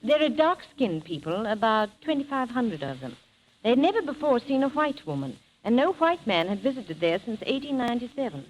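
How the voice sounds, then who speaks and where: A woman's voice: on the high side, very animated, normal speed. A woman telling travel tales as a guest in a scripted 1930s radio interview, with a polished, formal delivery. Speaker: guest traveller and film-maker. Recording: faintly noisy 1930s radio broadcast.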